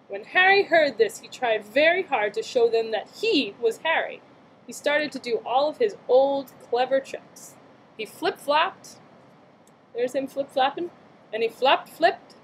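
High-voiced playful young woman, storytime. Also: a little hiss or hum, poor audio. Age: young adult. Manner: playful, child-directed, asking questions and exclaiming. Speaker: aunt reading a picture book to young relatives. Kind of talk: read-aloud storytime with playful asides. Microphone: computer webcam mic at arm's length.

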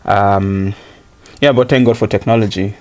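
A man's voice: on the low side, fairly even intonation, moderate pace, darkish tone. A man, answering interview questions thoughtfully, with many ums and an easy laugh. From Nigeria. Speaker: interview guest, student.

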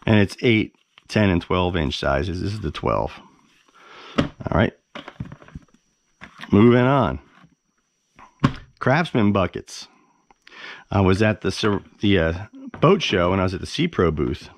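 Very deep-voiced man casually reviewing gear. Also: very animated, slow pace, creaky, long pauses, no room sound. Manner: enthusiastic, plain-spoken, repeats words for emphasis. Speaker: host of a fishing gear review channel. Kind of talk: casual, unscripted product review. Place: carpeted living room at home, indoors.

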